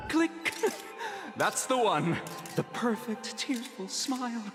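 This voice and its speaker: Gleeful manipulative showman, wildly varied pitch, echoing hall, game drama, music underneath. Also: slow pace, exaggerated voice. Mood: gleeful, bossy. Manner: theatrical, excitable. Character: gleeful, manipulative TV show director, callous showman.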